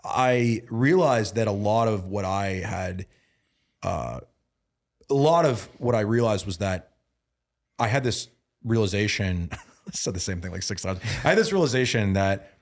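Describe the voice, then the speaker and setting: A man in his thirties talking as a guest on a casual podcast. He sounds earnest, repeats himself and restarts a lot, and grows emphatic and louder when he starts preaching. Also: fairly low pitch, expressive, a little slow, vocal fry. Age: 30s. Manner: repeats words and restarts often. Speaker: guest, bodybuilding and mindset coach.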